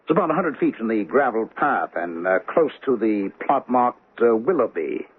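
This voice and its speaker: Courteous banker, tinny old radio. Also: male voice, medium pitch, very animated, moderate pace, breathy edge. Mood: puzzled, insistent. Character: courteous, troubled, well-off older male banker. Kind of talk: old-time radio drama acting. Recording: tinny band-limited radio drama recording.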